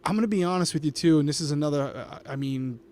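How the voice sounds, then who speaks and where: Quick, upbeat male co-host, close on his podcast mic, talking to the chat. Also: fairly high pitch, a little mellow. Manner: upbeat, talks to the live chat. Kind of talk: casual live watch-along commentary. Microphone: podcast microphone.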